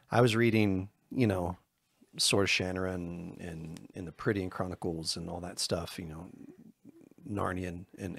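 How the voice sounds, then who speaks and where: Low husky guy rambling through a podcast chat, dry close sound, pokes fun at himself. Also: dry recording. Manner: loose and rambling, with self-deprecating jokes. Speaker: podcast co-host.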